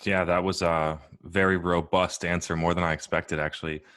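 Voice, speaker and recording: A man hosting a casual chat, easygoing and a bit halting as he talks. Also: sits low, little pitch movement, a bit bright. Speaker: host.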